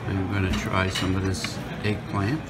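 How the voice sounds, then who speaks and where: A man's voice: sits low, level tone, unhurried, heavy background noise. A man filming himself at a buffet restaurant, musing aloud about the food with many fillers, recorded on a handheld phone. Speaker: food vlogger. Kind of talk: casual narration while filming. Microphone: phone camera held while walking.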